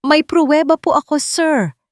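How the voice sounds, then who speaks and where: A woman's voice: high voice, moderate pace, no echo, clean studio sound, voice pushed up, close to an everyday voice. A woman voicing a wronged housemaid, polite and pleading, in an audio drama. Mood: desperate, pleading. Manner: pleading, deferential.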